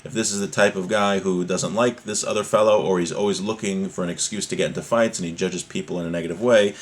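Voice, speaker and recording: Low-voiced man teaching a religion class. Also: steady tone, fast. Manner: informal, conversational, explains with everyday examples. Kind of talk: explanatory religious lesson, reading source text then translating and explaining.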